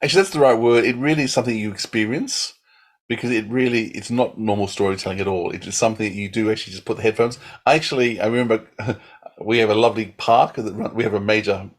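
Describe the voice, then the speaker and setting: A man chatting casually on a podcast as a panellist, enthusiastic and rambling. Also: fairly low pitch. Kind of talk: casual chat, reviewing.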